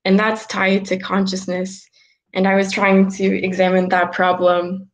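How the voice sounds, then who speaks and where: A woman in her twenties, a guest on a video call from a small room at home, explaining ideas unhurriedly with frequent ums and soft restarts. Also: medium pitch, completely flat delivery, unhurried. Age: 20s. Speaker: guest, philosopher. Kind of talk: casual video-call interview.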